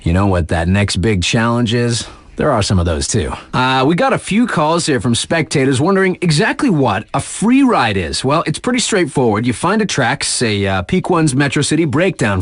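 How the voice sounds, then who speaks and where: Lively, wisecracking snowboard radio DJ dude. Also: male voice, on the high side, no echo, clean studio sound. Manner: slangy, wry, offhand, mock-grumbling. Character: laid-back, wisecracking young male snowboard radio DJ. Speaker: radio DJ on a ski mountain station. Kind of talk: casual radio DJ patter.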